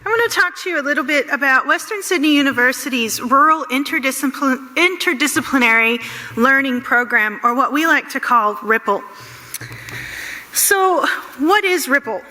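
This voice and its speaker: A woman giving a prepared conference talk in a brisk, upbeat presenting manner. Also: a little high, bright, forceful tone, big-room sound, low-quality recording.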